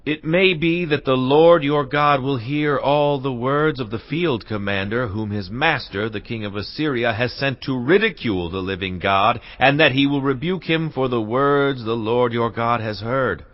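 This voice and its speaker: A man's voice: medium pitch, normal speed, few pauses, close to an everyday voice. A man playing a pleading royal envoy. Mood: anguished. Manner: pleading, solemn. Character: distressed royal envoys of King Hezekiah. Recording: dull, distant-sounding audio.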